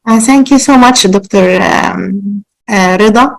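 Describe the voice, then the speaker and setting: Egyptian woman presenting, careful and halting. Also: mid-range voice, vocal fry, rough recording. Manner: careful, halting, repeats words. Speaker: associate professor, presenter. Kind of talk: academic conference presentation. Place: virtual conference call.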